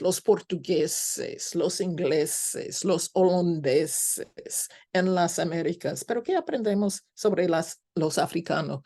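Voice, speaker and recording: A woman's voice: medium pitch, warm tone. A woman from the United States, a native English speaker, gives an informal academic lecture in Spanish with an American accent, searching for words and posing emphatic questions to her listeners. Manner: halting, searches for words in second-language Spanish. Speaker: guest lecturer, scholar with a doctorate.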